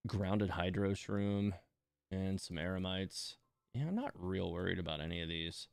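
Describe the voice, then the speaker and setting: A man streaming a video game, thinking aloud in a relaxed, informal way as he gives casual live commentary. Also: sits low, a little slow, gravelly, clear, resonant tone, no echo, clean studio sound.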